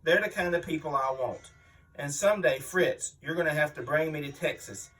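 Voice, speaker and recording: A man performs a veteran wrestling heel with a somewhat high voice, set back from the microphone. He is mean and full of bluster, bragging and griping in the style of a wrestling promo interview. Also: normal speed, a bit bright, recorded from a distance, natural voice, low-quality recording. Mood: menacing, boastful. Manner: boastful, blustering, aggrieved. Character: boastful, mean veteran pro wrestler villain, male, older. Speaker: reader voicing an interviewed wrestler. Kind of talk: dramatic magazine interview reading, wrestling promo.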